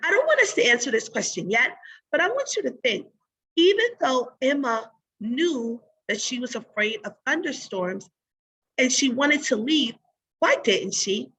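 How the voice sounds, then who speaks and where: Lady teacher doing a read aloud lesson, higher voice thats bright and a bit raspy, keeps asking guiding questions. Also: very animated, unhurried, poor audio. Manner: instructive, asks guiding questions.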